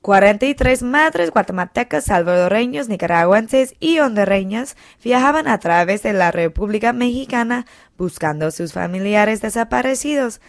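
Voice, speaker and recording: A woman presenting a radio news segment, reading a plain, measured introduction. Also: medium pitch, expressive, dry recording, clean studio sound.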